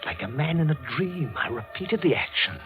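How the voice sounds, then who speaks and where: Obsessed morbid young son, high voice, swings all over, theatrical old radio horror, muffled w music. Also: male voice, big pitch swings, moderate pace. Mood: haunted, hushed. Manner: theatrical, accusing, fervent. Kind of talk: 1940s radio acting.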